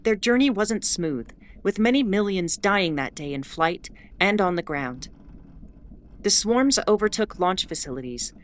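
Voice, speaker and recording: A woman playing a wry, mildly exasperated starship announcer in a scripted audio drama, explaining in a measured way with asides. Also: on the low side, natural voice. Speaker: host.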